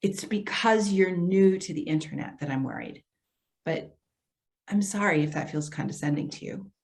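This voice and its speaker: A woman's voice: on the low side, moderate pace, darkish tone, lightly acted. Woman acting hesitant, earnest social worker. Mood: concerned, placating. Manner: hesitant, placating, careful. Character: earnest, apologetic female social worker for elders. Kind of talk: readers theater dialogue.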